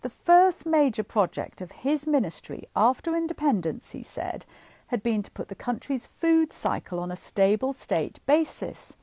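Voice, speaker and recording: A middle-aged woman reading a book aloud to online listeners in an expressive, informal, chatty way. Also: fairly high pitch, normal speed, no room sound. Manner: informal, chatty asides between expressive read passages. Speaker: guest reader of a bedtime story series. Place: indoors at home, on a live online stream.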